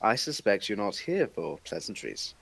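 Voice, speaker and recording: A man's voice: fairly low pitch, sing-song, normal speed, vocal fry, fairly bright tone, heavily acted. A man playing an ancient, regal fairy king in a table read, imperious and formal. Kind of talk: scripted drama table read.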